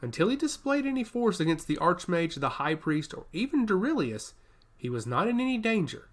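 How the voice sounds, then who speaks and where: A man's voice: high voice, big pitch swings, fairly quick. A man reading a scripted fantasy story aloud as its narrator. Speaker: audiobook narrator.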